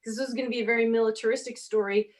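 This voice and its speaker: A woman in her forties speaks on a convention panel, her first language being Portuguese. She tells her story with energy and acts out the conversations she quotes. Her voice sits a little high for a woman. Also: fairly even intonation, very fast. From half Brazilian, grew up speaking Portuguese. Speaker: panelist author. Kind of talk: casual panel talk.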